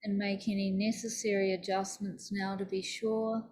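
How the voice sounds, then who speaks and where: A woman teaching yoga nidra, leading a guided meditation in a soothing, deliberate, instructive manner. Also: mid-range voice, little pitch movement, darkish tone.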